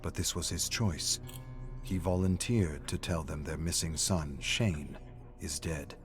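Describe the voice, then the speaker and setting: Really deep male narrator reading a moody dramatic story, measured n brooding, music behind him.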